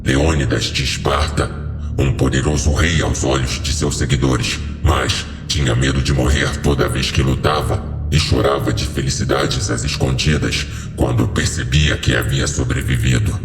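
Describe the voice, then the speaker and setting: A man's voice: very deep voice, steady tone, moderate pace, pitched below the performer's own voice. A man playing an ancient, solemn and commanding fallen being who speaks in stately, cryptic pronouncements in a horror audio story. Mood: grave, reassuring. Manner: solemn, stately, portentous delivery. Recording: heavily reverberant voice over music.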